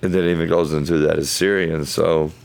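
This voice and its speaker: A man hosting a casual Bible study podcast, thinking aloud in loose, halting phrases, his voice hoarse as if he were losing it. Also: very deep voice, steady tone. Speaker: podcast host.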